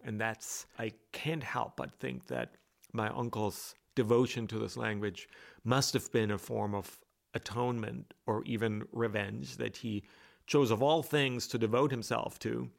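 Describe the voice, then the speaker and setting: Hesitant gravelly-voiced man with roots. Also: mid-range voice, fairly even intonation, unhurried. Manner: hesitant, with many restarts and fillers. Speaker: author and guest. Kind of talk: reflective interview talk.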